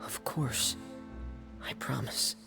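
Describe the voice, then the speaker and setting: A man voicing a shy, soft-spoken teenage boy in a video game, subdued, earnest and hesitant. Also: slow, over music, lightly acted.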